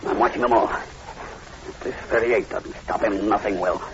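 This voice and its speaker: Casting: male actor for fearless hero of radio horror play. Low, curt, urgent orders; noisy, muffled vintage recording. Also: fairly even intonation, measured pace, lightly acted. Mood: urgent, determined. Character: commanding, fearless man hunting the undead. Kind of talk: old-time radio horror drama acting.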